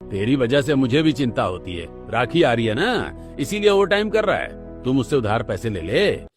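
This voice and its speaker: A man acts a middle-aged boss in a cartoon story. He is plainspoken, concerned and instructive, mid-pitched, with music beneath. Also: very animated, normal speed, natural voice.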